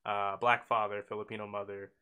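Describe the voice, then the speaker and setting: Bright-voiced young man, rambling casual talk. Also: lowish voice, level tone, brisk, clear, resonant tone. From Oakland, California. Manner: loose and rambling, many fillers and restarts. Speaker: podcast co-host. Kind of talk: casual podcast conversation.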